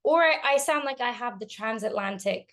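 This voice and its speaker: A woman's voice: on the high side, lively intonation, moderate pace, fairly bright tone. A woman with a mixed British and American accent, grew up in London and Italy, chatting as an actor guest in a casual interview, laughing often and correcting herself.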